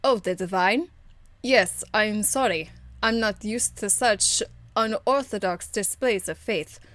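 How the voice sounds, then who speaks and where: A woman voicing a stern, dignified noblewoman warrior of faith for an amateur game voice demo, speaking in a formal, measured, earnest way. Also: mid-range voice, a bit bright, no echo. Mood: earnest, restrained.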